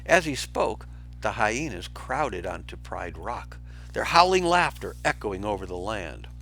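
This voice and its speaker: A man reading a children's storybook aloud as an expressive storyteller. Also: on the low side, measured pace, creaky, faint music behind.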